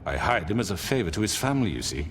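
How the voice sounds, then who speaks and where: An actor playing a smooth, manipulative crime boss in a video game, with measured, theatrical speechifying. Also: male voice, lowish voice, very animated, slight background noise. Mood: smoothly defensive. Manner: measured, theatrical, silky self-righteous speechifying. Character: smooth, manipulative crime boss, self-justifying older man. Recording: reverberant hall sound under loud music.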